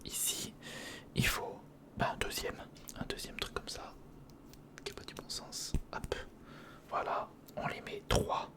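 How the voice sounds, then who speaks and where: A man whispering in a breathy, crackly voice, casually murmuring a step-by-step ASMR commentary as he thinks aloud. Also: unhurried, long pauses. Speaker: ASMR video creator.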